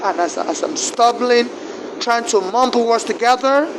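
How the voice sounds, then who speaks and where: Nigerian guy, english not his first language, stumbly and repeating himself, kinda high voice, noisy traffic behind. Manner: halting, repeats words and stumbles through restarts. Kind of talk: personal grievance account.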